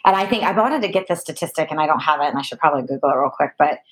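A woman co-hosting a podcast, gushing warmly and enthusiastically as she introduces a guest. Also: low voice, animated, very fast, slightly rough, rough recording. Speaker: podcast co-host. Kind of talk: casual podcast chat.

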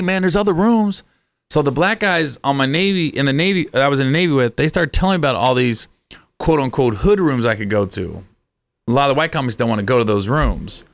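A man in his forties, a stand-up comedian, telling stories from his career in a casual chat, animated and acting out voices. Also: medium pitch, dry recording.

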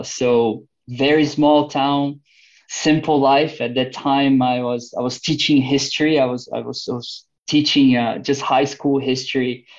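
A man's voice: medium pitch, highs cut off. A man in his thirties from Brazil with a Brazilian accent, a missionary guest talking eagerly on a podcast with many restarts. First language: Portuguese. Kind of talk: podcast interview.

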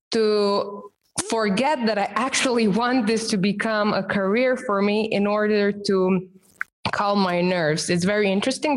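A woman whose first language is Romanian, speaking English as an interview guest and professional coach in a measured, explanatory way. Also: mid-range voice, poor audio. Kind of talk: podcast interview answers.

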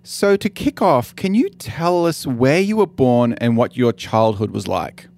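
A man's voice: medium pitch, animated, measured pace, vocal fry, a little mellow. A man hosting an interview podcast, moving between scripted introductions and questions for a guest. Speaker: podcast host, head of school.

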